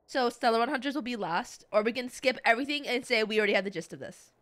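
A woman's voice: on the high side, lively intonation, fast, a bit bright. A young woman doing casual live game commentary in a playful, slangy, dramatic way. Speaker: streamer.

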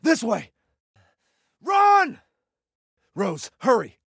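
A man's voice: very high voice, huge pitch swings, drawn-out words, fairly bright tone, heavily acted. An actor playing an intense, emotional father who is desperate to protect his grown daughter in a video game. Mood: urgent, desperate. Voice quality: raspy, gravelly. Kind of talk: dramatic video game voice acting.

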